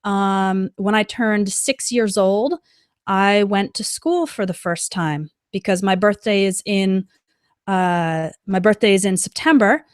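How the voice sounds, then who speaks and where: Woman, English teacher, live lesson, slow clear example sentences, dry close mic. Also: mid-range voice, no room sound.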